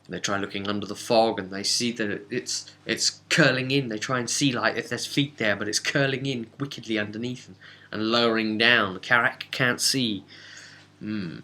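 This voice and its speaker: A man recapping a tabletop game as game master, telling it casually with dramatic, halting pauses. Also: fairly low pitch, steady tone, bright, forceful tone.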